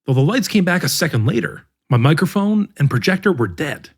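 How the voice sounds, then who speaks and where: A man narrating an article in the first person as the regular reader of a podcast, with a measured, expressive delivery. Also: fairly high pitch, slightly rough. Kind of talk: scripted narration.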